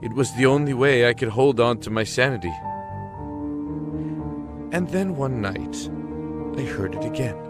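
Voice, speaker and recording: A man narrating a scripted first-person dramatic story with deliberate, somber phrasing. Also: medium pitch, animated. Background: music.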